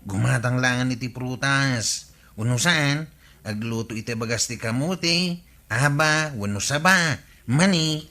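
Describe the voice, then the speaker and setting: A caring uncle in a radio comedy drama. He has a bright, clear-cut voice and a gently scolding, advising manner. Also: medium pitch, a little slow.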